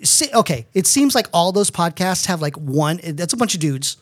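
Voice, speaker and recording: A man's voice: sits high, expressive, moderate pace, no room sound. A man in his fifties co-hosting a podcast in casual comedic chat, opinionated, rambling and freely swearing. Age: 50s. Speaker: podcast co-host.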